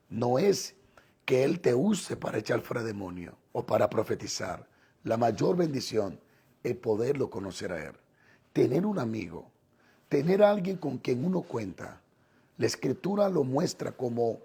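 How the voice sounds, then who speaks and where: A man preaches with a Dominican Spanish accent in a heavily rasping, mellow voice. He teaches in short, deliberate phrases, pausing and repeating for emphasis, with a gravelly fry running through his delivery. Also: mid-range voice. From Dominican Republic. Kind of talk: sermon, teaching on scripture.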